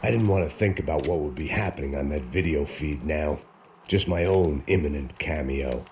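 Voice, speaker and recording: Very deep, weary American antihero. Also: male voice, normal speed, a little mellow, slight background noise. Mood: weary, bitter. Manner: world-weary, sardonic, terse. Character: weary, bitter, hard-drinking American gringo, self-appointed bodyguard. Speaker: narrating protagonist. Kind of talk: hardboiled noir voice-over narration.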